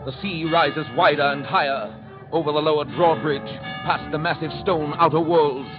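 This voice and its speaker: An actor as a grave storyteller, narrating a historical radio drama in a portentous, rhythmic, deliberate way. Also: male voice, on the high side, steady tone, close to an everyday voice. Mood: urgent, dramatic. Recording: dull vintage radio, loud music behind.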